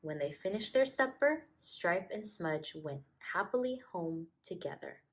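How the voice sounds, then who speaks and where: Slow, expressive woman storyteller. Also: on the low side. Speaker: teacher reading a story to children.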